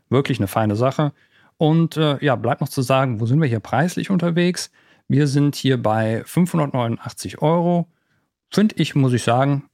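A man's voice: medium pitch, darkish tone, no room sound, clean studio sound. A man co-hosting a podcast, giving a casual, enthusiastic gear review with colloquial wording and English tech jargon mixed in. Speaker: podcast co-host presenting music gear.

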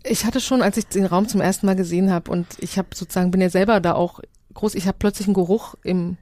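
A woman's voice: mid-range voice, steady tone, quick pace, warm tone. A woman from East Germany speaking reflectively as a radio journalist in a casual panel discussion, often reworking her sentences midway.

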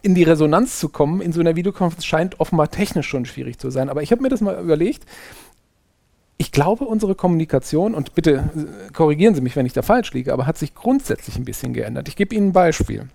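A man's voice: fairly high pitch, lively intonation, fast talker, gravelly, warm tone, no room sound. A man hosting an interview, asking reflective and courteous questions in a conversational way. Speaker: interviewer host.

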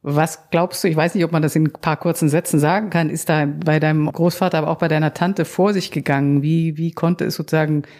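A woman editor interviewing a colleague, asking measured questions with small restarts. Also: sits low, steady tone, a little mellow. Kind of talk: podcast interview.

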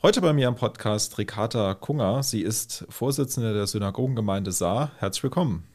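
A man's voice: fairly low pitch, expressive, normal speed, darkish tone. A man interviewing a guest on a podcast, asking polite, conversational questions.

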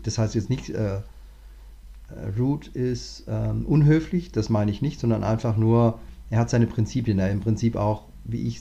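A man, a management consultant, talking through his career in a relaxed interview, thinking aloud with many restarts. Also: fairly low pitch, gravelly, muffled recording. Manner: thoughtful, many fillers and restarts. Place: lives in Cape Town, remote interview.

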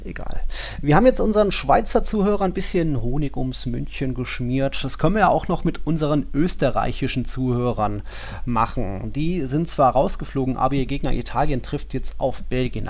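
A man's voice: medium pitch, vocal fry. A man chatting casually as co-host of a football podcast, in colloquial, clipped phrases. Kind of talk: casual sports podcast chat.

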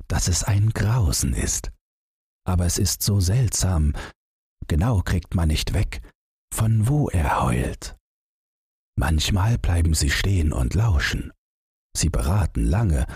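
A man's voice: low-pitched, darkish tone, husky, dry recording. A man narrating a story as a scripted audiobook, close to the microphone.

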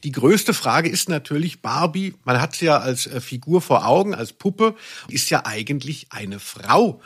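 A man co-hosting a podcast, musing casually and wryly with deliberate emphasis. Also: fairly high pitch, expressive, a bit bright, breathy edge. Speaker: podcast co-host. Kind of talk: casual review chat.

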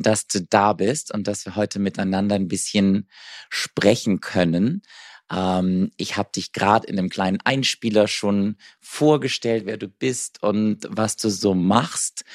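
Low-voiced man hosting a podcast. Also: dry recording, studio quality. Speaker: podcast host. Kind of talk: podcast interview.

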